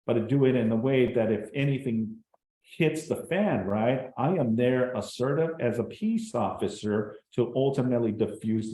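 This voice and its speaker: A man who works as a real estate broker, giving an energetic short talk to an audience and often turning to them with "right?" and "folks.". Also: mid-range voice, low-quality recording. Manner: animated, addresses the crowd with "folks" and "right?".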